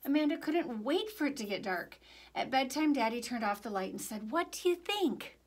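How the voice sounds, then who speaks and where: A middle-aged American woman reads a picture book to children. Her voice sits fairly high and her storytelling is expressive. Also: brisk, slightly rough. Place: indoors, library room with bookshelves.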